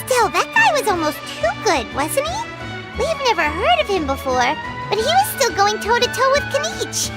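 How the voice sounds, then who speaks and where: Tiny floating fairy child, squeaky and very high, swooping delivery, excitable, talkative, video game, loud music. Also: female voice, moderate pace, exaggerated voice. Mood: curious, chatty.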